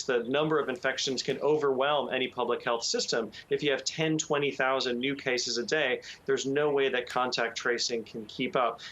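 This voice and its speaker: A man being interviewed on the news as a public health expert, explaining in a measured way with frequent ums and you knows. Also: mid-range voice, a bit bright, highs cut off.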